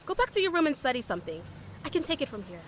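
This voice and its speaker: Actress as stern leader, high, animated, scolding. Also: female voice, very fast, a little hiss or hum. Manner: sharp, scolding, commanding. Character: stern protective woman leader Penelope. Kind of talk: audio drama acting.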